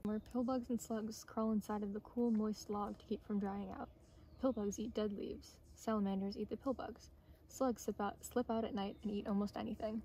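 A young woman reads a nature storybook aloud in an ordinary mid-pitched voice. She is outdoors in the woods, with faint ambient noise around her. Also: steady tone, normal speed, warm tone, poor audio. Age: young adult. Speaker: intern reading a storybook aloud. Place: outdoors on a forest trail. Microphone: camera or phone a short distance away.

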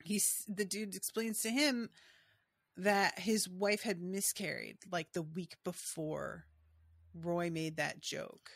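A woman's voice: lowish voice, fairly even intonation, slow pace, vocal fry, slightly rough, dry recording, studio quality. A woman co-hosting a podcast, talking casually and trailing off into asides. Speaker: podcast co-host. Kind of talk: casual recap chat about a TV episode.

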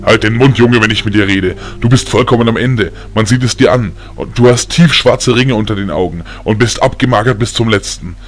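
A man voicing a strict, gruff but loving old grandfather who lectures sternly in an audio story. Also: on the low side, a little fast, hardly any pauses, slight background noise, natural voice. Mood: stern, disappointed.

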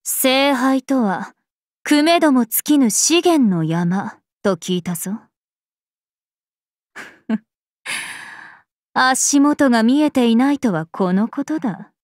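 Casting: ageless regal game princess, haughty and composed, measured pace, slightly high voice. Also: female voice, expressive, no echo, studio quality. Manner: imperious, archaic, composed, aloof. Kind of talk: Japanese mobile game character voice lines.

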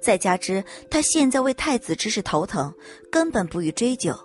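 A woman's voice: mid-range voice, sing-song, moderate pace. A woman reading a scripted story as a dramatic audiobook narrator. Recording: music bed under narration.

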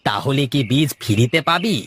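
A man's voice: mid-range voice, lively intonation, moderate pace, a bit bright, breathy edge, no room sound. A man voicing a jealous, gossiping villager in a cartoon fairytale, mocking and conspiratorial. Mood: mocking, scheming. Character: jealous, gossiping male villager, sly troublemaker. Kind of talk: animated moral fairytale dialogue. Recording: music behind the voice.

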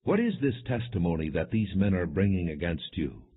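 Stern, accusing male high priest read by a man, formal, emphatic, husky, scripture audiobook. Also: very animated, normal speed, full character voice. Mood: interrogating. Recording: muffled, narrow phone-like sound.

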